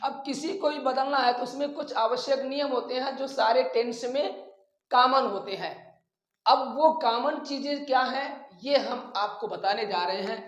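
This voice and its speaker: A man teaching a grammar lesson, explaining rules deliberately and repeating his phrases. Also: very high voice, fairly bright tone. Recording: echoey room sound.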